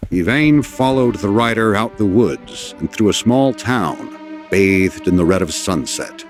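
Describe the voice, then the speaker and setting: Deep-voiced male storyteller over music. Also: animated, measured pace. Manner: formal, literary, theatrical. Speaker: narrator. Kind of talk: scripted dramatic storytelling narration. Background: orchestral score.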